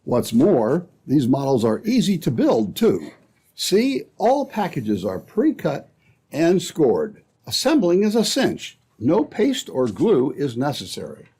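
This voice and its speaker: A man works as an old-time radio announcer, reading scripted copy. His voice is slightly high and husky, with very animated intonation. The delivery is that of a peppy salesman. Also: slow pace. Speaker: announcer and narrator.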